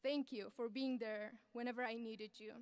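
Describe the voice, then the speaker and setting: A young woman from Afghanistan speaks as a graduating student, her voice high and smooth. She delivers an earnest, measured speech into a podium mic. The sound is close and dry. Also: fairly even intonation, no echo. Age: young adult. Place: large ceremony venue.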